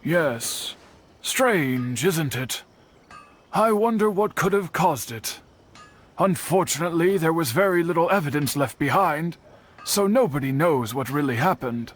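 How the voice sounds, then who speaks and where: An actor playing an earnest young swordsman in a video game, speaking in a composed, formal and measured way. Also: on the high side, expressive. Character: earnest young swordsman worried for a missing friend. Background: game music.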